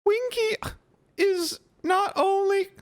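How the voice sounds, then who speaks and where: Man doing drunk female house elf, very high squeak, tearful, slurred, slow dragging words, broken grammar, loyal. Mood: mutinous.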